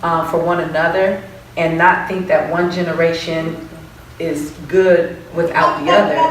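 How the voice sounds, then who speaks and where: A young woman answering as a panelist, earnest and a little halting, with a big voice. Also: low voice, background noise. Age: young adult. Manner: earnest, many fillers and restarts; strong, big voice. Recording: distant echoey hall recording.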